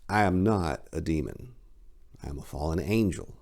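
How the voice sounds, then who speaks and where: A man voicing an ancient male fallen angel in a tailored suit, speaking in a measured, reassuring, matter-of-fact way. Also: very deep voice, animated, creaky, a little mellow, heavily acted.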